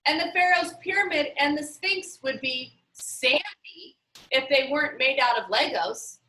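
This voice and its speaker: Storybook boy teammate played by a woman, wry, confident, emphatic, bright and lively. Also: sits high, measured pace, roomy sound, voice pushed up, exaggerated voice, poor audio.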